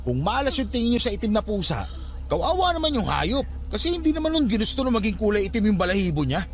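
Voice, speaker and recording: A stubborn nephew of thirty in a radio drama. His voice sits quite high for a man and swings widely in pitch. He argues bluntly and dismissively, in a colloquial way. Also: big pitch swings, fast. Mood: dismissive, irritated. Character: stubborn skeptical thirty-year-old nephew, irritable and blunt. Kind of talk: Filipino radio drama acting.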